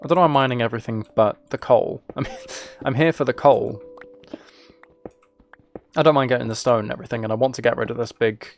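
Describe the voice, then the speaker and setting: A man's voice: medium pitch, quick pace, gravelly, soft background music. A twenty-year-old man chatting casually as he streams a game, rambling along in a joking, teasing way. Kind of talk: casual chat while playing a game.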